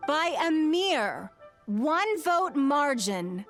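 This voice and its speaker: A woman's voice: sits high, big pitch swings, very slow, fairly bright tone, faint music behind, full character voice. A woman playing a dignified lady official in an anime game, speaking in a formal, composed, elegant way. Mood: ceremonious. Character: poised, dignified lady official judging a contest.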